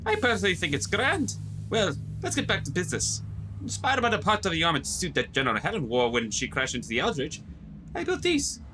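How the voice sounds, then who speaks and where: Man acting jaunty male inventor, audio drama, slightly high, hugely animated pitch. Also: brisk. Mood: proud, enthusiastic. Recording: faint background noise and ambience.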